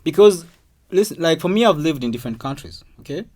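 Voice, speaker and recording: A man's voice: a little high, fast, husky. A man guest on a podcast, talking emphatically and repeating himself for stress in casual conversation. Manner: emphatic, repeats words, rising excitement. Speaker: guest, artist and producer.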